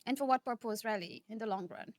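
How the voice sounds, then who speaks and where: A woman from Nepal, giving a conference keynote speech in a measured, earnest manner, often posing rhetorical questions. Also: a little high, dry recording. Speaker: keynote speaker.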